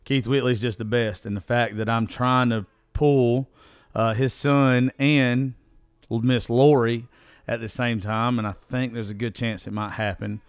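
A man's voice: mid-range voice, fairly even intonation, unhurried, clear, resonant tone, dry recording. A man with a Southern US accent hosts a podcast solo in a casual, rambling, folksy and profane way, his voice strained from recently losing it. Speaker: podcast host.

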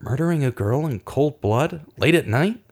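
A man's voice: a little high, expressive, normal speed, a little mellow. An actor playing a determined, brooding male investigator in a radio drama, earnest, dramatic and guarded. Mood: brooding, troubled. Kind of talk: radio drama acting with musing monologue.

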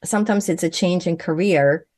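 A woman speaks in a low, deep register, earnest and fluent, though she often restarts her phrases. The sound is close and dry, with no room echo. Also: moderate pace, a little mellow. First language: Swedish. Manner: earnest, fluent with frequent self-corrections. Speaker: guest, medium and physician. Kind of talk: conversational interview answers.

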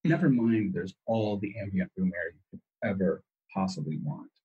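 Very husky man explaining emphatically. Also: deep voice, normal speed, phone-quality sound. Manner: emphatic, repeats key words. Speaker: interview guest. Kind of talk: explanatory interview talk.

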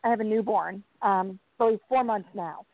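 A young adult woman talks casually as an interview guest in a mid-pitched voice. The sound is dry, with no room to it. It has the narrow, muffled tone of a call. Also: normal speed, no echo. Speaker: podcast team member, interview guest. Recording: dry, muffled band-limited call audio.

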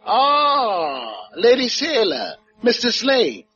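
An actor playing a genial Caribbean hotel helper and singer in a radio drama, speaking in a flowery, effusive way. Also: male voice, very high-pitched, huge pitch swings, drawn-out words, sharp tone, faint music behind, exaggerated voice. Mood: effusive, playful. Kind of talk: 1950s radio drama acting. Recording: dull lo-fi old radio recording.

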